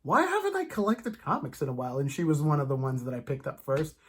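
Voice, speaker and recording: A podcast host chatting casually as a fan, in an animated, rambling, stop-start way with playful asides. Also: medium pitch, quick pace, husky. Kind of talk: casual comic book fan chat.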